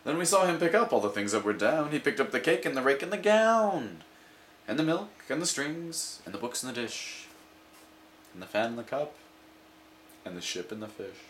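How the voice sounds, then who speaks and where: Male amateur storybook reader. Medium pitch, lively sing-song rhyme delivery, slightly noisy home recording. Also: moderate pace, echoey room. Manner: expressive, sing-song rhythm. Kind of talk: rhyming children's story read aloud. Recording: steady background noise, home recording.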